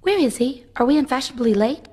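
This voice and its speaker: A woman's voice: high voice, animated, fast, dark tone, slight background noise. A woman playing a playful, ditzy but confident glamorous bodyguard boss in a video game. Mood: breezy. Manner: playful, cutesy. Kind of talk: video game cutscene voice acting.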